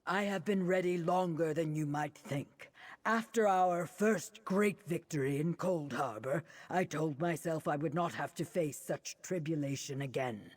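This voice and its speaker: Husky, unhurried reptilian sage. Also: male voice, fairly high pitch. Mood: solemn, reflective. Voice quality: husky, breathy rasp. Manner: measured, poetic, dryly warm. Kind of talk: video game character acting. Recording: music behind the voice.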